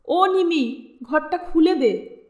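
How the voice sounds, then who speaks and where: A frail old woman , acted by a woman storyteller speaks in a high, plaintive voice. She is slow and halting, full of self-pity and sorrow. It is a storybook reading with a roomy echo. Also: lively intonation, darkish tone, dull audio, full character voice. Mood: calling out.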